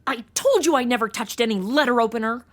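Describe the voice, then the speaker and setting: A woman's voice: on the high side, fast talker, natural voice. A woman playing a sassy, irreverent, blunt young temp in a comedy audio drama, sarcastic and slangy. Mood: indignant, playful.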